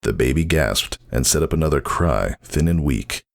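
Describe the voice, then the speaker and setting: A man's voice: extremely low pitch, animated, moderate pace, gravelly. A man narrating a story as a dramatic reading, solemn and theatrical. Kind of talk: dramatic scripted fan fiction narration.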